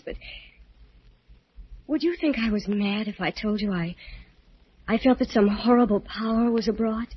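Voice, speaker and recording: A woman playing an earnest, anxious young woman, speaking insistently and haltingly in an old-time radio drama. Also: mid-range voice, slightly rough, natural voice. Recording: muffled old radio recording, faint noise.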